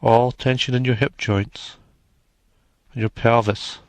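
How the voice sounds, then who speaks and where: A man narrating a guided meditation in a soothing, measured manner, leaving long pauses between short phrases. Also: medium pitch, level tone, no room sound.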